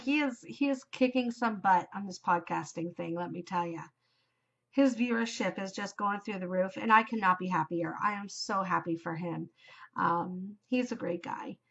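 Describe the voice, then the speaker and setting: Chatty Canadian woman host, loud and enthusiastic, dull home mic. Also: lowish voice. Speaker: knitting podcast host. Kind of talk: casual chat. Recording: slightly dull home recording.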